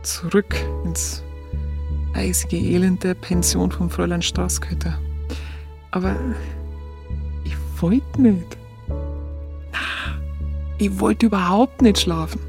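A woman's voice: on the low side, fairly even intonation, slow, vocal fry, pauses often, darkish tone, soft background music. An actress playing a curious, smitten young woman photographer in a radio play, chatty and colloquial with a Bavarian accent. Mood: wistful, musing. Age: young adult. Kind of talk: radio play narration and dialogue.

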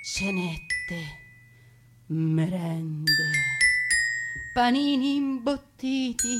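Slow, theatrical woman storyteller. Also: fairly high pitch. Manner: dramatic, deliberate delivery with lingering lists. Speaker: stage actress and narrator. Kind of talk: theatrical narrative monologue.

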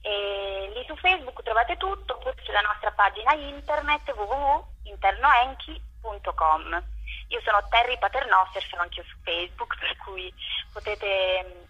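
A woman interviewed over the phone as a theatre director, explaining her work in a thoughtful, halting way with drawn-out pauses. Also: medium pitch, bright, forceful tone, breathy edge. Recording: muffled, band-limited phone line.

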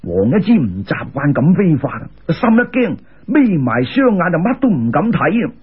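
Cantonese-speaking male folk-tale narrator: raspy, lively intonation, colloquial and dramatic, on a thin radio-like recording. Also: a little high, normal speed. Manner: animated, colloquial, emphatic. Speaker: storyteller. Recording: muffled lo-fi radio-style recording.

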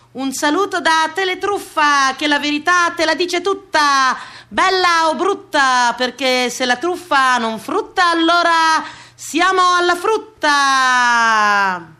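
A woman voicing a slick, chirpy telesales pitchwoman in a stage comedy, with drawn-out sing-song advertising delivery. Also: bright, forceful tone, heavily acted.

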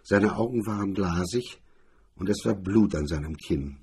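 Male narrator, low-pitched, very husky, scripted crime story reading. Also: unhurried, a little mellow.

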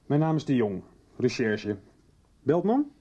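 An actor playing a stern, threatening police detective who questions sarcastically in a radio drama. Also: male voice, mid-range voice, very animated, unhurried, warm tone. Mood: pressing. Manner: pressing, sarcastic interrogator. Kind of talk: 1990s radio drama acting. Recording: dull lo-fi 1990s radio drama.

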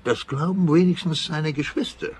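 An actor playing a jumpy, blustering older emigrant back from America, mixing in English phrases, in a radio play. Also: male voice, fairly high pitch, moderate pace, a little mellow, breathy edge. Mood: confiding. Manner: indignant, peppered with English phrases.

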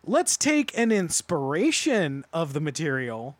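A man's voice: fairly high pitch, big pitch swings, a little slow, dry recording. A man talking casually as a panelist in a group podcast discussion.

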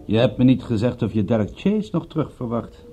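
A man acting in a radio play as a stubborn, irritable private detective, gruff and curt, with a wry, principled streak. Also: mid-range voice, big pitch swings, a little fast, music in the background, natural voice. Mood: needling.

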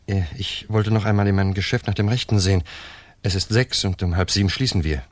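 An actor playing a wary, methodical lover plotting a murder in a German radio crime drama. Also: male voice, lowish voice, steady tone, brisk, no echo, close to an everyday voice. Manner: cautious, methodical.

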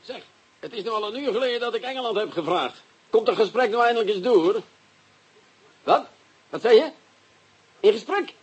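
An actor playing an impatient Amsterdam police detective in a radio play, who speaks in halting English on the phone. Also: male voice, high-pitched, expressive, husky, lo-fi sound, heavily acted. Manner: impatient, brusque, broken English. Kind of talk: radio drama acting.

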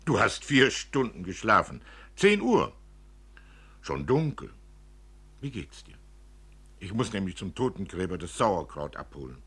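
A man's voice: deep voice, big pitch swings, unhurried, pauses often, a bit bright, slightly rough, exaggerated voice. A man voicing a fond, joking father who fusses over his daughter in a caring, chatty way in a radio reading. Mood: tender. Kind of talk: radio play literary reading.

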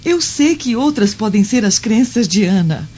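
An actress playing a gentle, devout Roman senator's wife in a radio drama, speaking formally and earnestly. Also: female voice, mid-range voice, expressive, normal speed, breathy edge. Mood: earnest, pleading. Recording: muffled lo-fi radio drama, music underneath.

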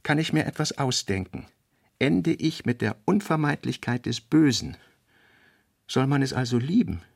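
A man reading essayistic literary prose for radio with a measured, deliberate delivery. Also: mid-range voice, animated, vocal fry, dry recording, clean studio sound. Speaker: narrator.

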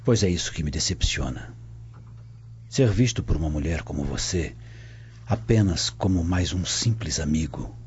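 An actor playing a lovesick, insistent Roman prefect in a radio soap, theatrical and husky. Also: male voice, rumbling low, expressive, normal speed, darkish tone. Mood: wounded. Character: smooth-talking, wounded, lovesick Roman prefect, insistent suitor. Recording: dull lo-fi, music behind.

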